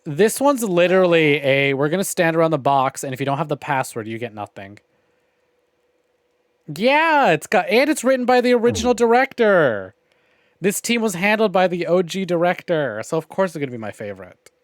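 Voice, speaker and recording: A man chats casually as he streams a story game. His voice sits a little high and is recorded very dry and close. He is opinionated, wry and freely profane. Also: big pitch swings, normal speed, no echo. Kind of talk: casual livestream commentary on a visual novel game.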